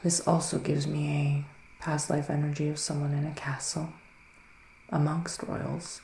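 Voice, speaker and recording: Male ASMR performer as a soothing forest witch: very slow, hushed delivery slipping into whispers, roomy echo. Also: on the high side, little pitch movement, gravelly. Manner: unhurried, pausing between short phrases. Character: soothing, mystical male forest witch giving tarot readings. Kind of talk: ASMR psychic reading roleplay. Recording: roomy echo, faint background noise.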